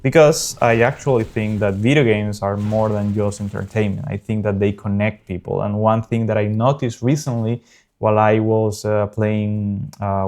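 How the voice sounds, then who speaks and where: A man in his early thirties from South America, a native Spanish speaker with a South American Spanish accent, talking casually and earnestly over gameplay as host of his gaming channel, with frequent pauses. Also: on the low side, a little mellow. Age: early 30s.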